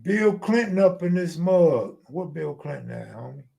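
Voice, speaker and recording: An American man hosts a casual live stream. His voice sits on the high side and is full of gravelly creak. He talks in loose, streetwise slang and swears freely. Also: lively intonation, moderate pace, mellow.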